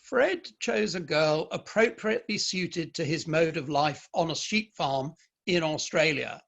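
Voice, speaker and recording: A proud, stern Victorian father and man of letters, acted by a man in a play reading. His voice is notably high for a man. He speaks formally and emphatically, with firm opinions. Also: measured pace, gravelly, a bit bright, full character voice. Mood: stern, indignant.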